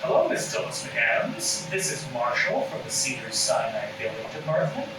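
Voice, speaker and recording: A man's voice: medium pitch, sing-song, normal speed, pitched below the performer's own voice, close to an everyday voice. A man voicing a polite, official hospital billing clerk leaving a voicemail in a comedy table read. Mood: formal. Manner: formal, official. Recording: roomy, distant mic with loud background noise.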